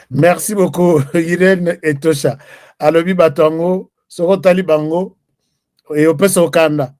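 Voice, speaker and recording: A man's voice: fairly high pitch, fairly even intonation, takes it slowly, pauses often, darkish tone, no room sound, studio quality. A man from Congo hosting a live political commentary show, chatting and reading viewer comments. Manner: chatty, switches between French and Lingala, reads viewer comments. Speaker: host.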